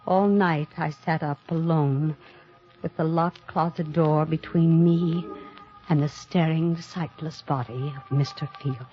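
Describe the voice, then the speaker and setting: A woman's voice: low-pitched, steady tone. Anxious young woman in muffled radio mystery. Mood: hushed dread. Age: young adult. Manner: suspenseful, deliberate, dramatic. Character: anxious young woman hiding a murder, narrating her ordeal. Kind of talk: 1950s radio mystery acting, dramatic narration. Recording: muffled old radio broadcast, music behind.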